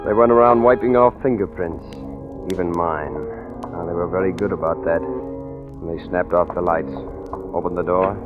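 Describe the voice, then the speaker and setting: A man's voice: low voice, natural voice. An American man playing an anxious fugitive in a 1940s radio thriller, confiding and nervous. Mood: tense, confiding. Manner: nervous, halting when addressing others. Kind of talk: 1940s American radio thriller acting, first-person narration and dialogue. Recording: tinny old radio recording, music behind.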